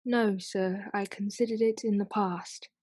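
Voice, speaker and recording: A woman's voice: medium pitch, fairly even intonation, a little slow, a little mellow, dry recording, lightly acted. A woman playing a restrained, dignified widow giving formal testimony in a period radio play. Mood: composed, subdued. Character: reserved, dignified widowed lady testifying in court.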